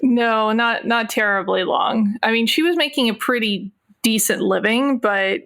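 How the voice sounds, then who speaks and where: A woman's voice: fairly high pitch, unhurried, fairly bright tone. A woman chatting casually as a player in a tabletop game. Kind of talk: casual chat about a game character.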